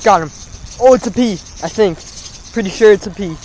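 A young man fishing outdoors beside a pond, talking straight into a wearable action camera. His voice sits a little high, and he breaks into excited exclamations whenever a fish bites. A faint hiss runs under the outdoor recording. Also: normal speed, rough recording. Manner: excitable, bursts into exclamations on each catch. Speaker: fishing vlogger. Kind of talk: live running commentary while fishing.

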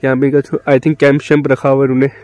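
A man's voice: mid-range voice, completely flat delivery, fast, a little mellow, dry recording. A young man chatting casually to his phone camera on a cold, rainy hillside, vlogging a motorbike trip. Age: young adult. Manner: chatty, talks to camera while riding trip. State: feeling cold. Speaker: travel vlogger and biker. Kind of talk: casual travel vlog. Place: outdoors on a rainy hillside camp. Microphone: handheld phone selfie.

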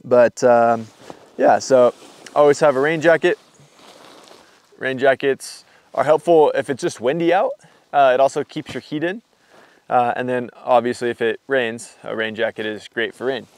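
A man's voice: medium pitch, normal speed, no room sound. A young man casually walking through his hiking gear on camera, rambling and loose, with lots of fillers. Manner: rambling, filler-heavy, relaxed explaining. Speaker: hiking vlogger. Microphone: camera mic.

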